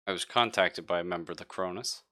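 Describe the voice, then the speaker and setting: A man's voice: low-pitched, fast talker, bright, forceful tone. A man voicing a dutiful but evasive female android crew member in a tabletop game, speaking formally in clipped, detached phrases.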